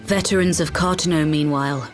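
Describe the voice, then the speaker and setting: A woman voicing a grave, formal adviser delivering a measured report in a fantasy video game. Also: sits low, fairly even intonation, soft background music, close to an everyday voice. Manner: formal, solemn. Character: grave, measured female reporter of military news. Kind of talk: dramatic video game voice acting.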